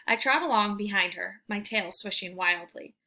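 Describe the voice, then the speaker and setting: Woman doing a kids book read aloud, bright voice, really expressive and emphatic. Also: medium pitch, normal speed. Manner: animated, emphatic, theatrical delivery. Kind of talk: expressive children's book narration.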